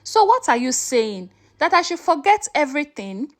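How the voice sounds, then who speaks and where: A woman's voice: high-pitched, big pitch swings, moderate pace. A woman storyteller voicing an anxious married man who speaks carefully and defensively. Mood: nervous. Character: anxious married man, polite, pleading with hostile in-laws.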